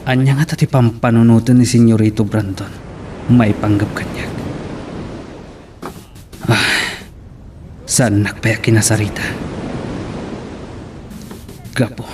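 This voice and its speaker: A man's voice: on the low side, steady tone, measured pace, pauses often, natural voice. A man with an Ilocano accent playing a loyal, resolute henchman who serves a young master in a radio drama. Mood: brooding, determined. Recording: radio drama with loud hissy noise.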